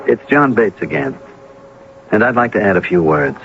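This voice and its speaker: A man's voice: lowish voice, expressive, normal speed. An actor playing a meek, rueful husband who confides his story in a wry, hesitant way, in a radio drama. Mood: rueful, confiding. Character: John Bates, meek, rueful married man telling his story. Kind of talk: radio drama first-person narration. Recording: dull lo-fi old radio, faint noise.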